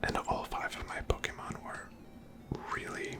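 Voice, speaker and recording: A person whispering ASMR gameplay commentary in a breathy, unvoiced voice, gently musing aloud. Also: normal speed. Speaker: video game streamer narrating own playthrough.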